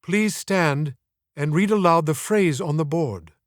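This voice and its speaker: An exacting schoolmistress as a male narrator plays her in a storybook reading. She speaks slowly and declaims, probing and encouraging her pupils. The sound is close and dry. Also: fairly high pitch, dry recording, natural voice. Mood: commanding, declamatory. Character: challenging, encouraging female schoolteacher, theatrical and exacting.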